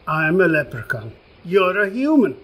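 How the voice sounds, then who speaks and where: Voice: medium pitch, big pitch swings, normal speed, a bit bright. An actor in a radio play voicing a grumpy, suspicious little leprechaun with an Irish accent, blustering and insulting.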